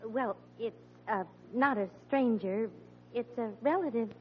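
A woman playing high-voiced, placating radio housewife. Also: a little slow, natural voice. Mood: hesitant. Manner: hesitant, coaxing, soothing. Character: patient, placating sitcom wife. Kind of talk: old-time radio sitcom acting. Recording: muffled vintage radio recording, faint noise.

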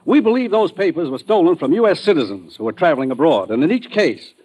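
A grave, commanding commissioner gives orders in an old radio drama. His voice is fairly high for a man and moves with lively, urgent emphasis. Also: fast talker, husky, rough recording. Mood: grave briefing. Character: urgent, authoritative male government commissioner giving orders. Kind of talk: 1940s-50s radio drama acting.

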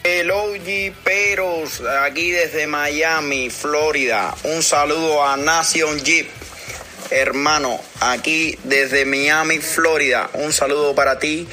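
A man delivers a recorded greeting very slowly, leaning on each phrase and repeating it. His tone is very bright and sharp. There is faint noise behind him. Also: on the high side. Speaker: fan sending a recorded greeting. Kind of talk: recorded shout-out.

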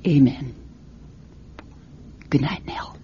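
An actress playing a gentle mother in a radio drama, speaking in a soft, soothing way. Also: a bass voice, very slow, in a whisper, full character voice. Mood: tender. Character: gentle mother tucking in her child. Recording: muffled, noisy radio drama recording.

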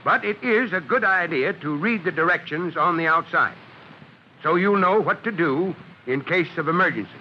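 A man playing an American fire chief, instructing children deliberately and emphatically in an old-time radio address on a muffled recording. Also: on the high side, a little hiss or hum, close to an everyday voice. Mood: instructive. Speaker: safety-notice presenter.

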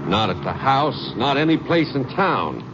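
A small-town Texas sheriff in an old radio drama. He is plain-spoken and folksy, with a medium-pitched voice and few words to spare. The sound is muffled and thick with noise. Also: sing-song, a little slow. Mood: concerned. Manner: folksy, laconic. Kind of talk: 1950s radio drama acting. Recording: noisy, muffled 1950s radio recording.

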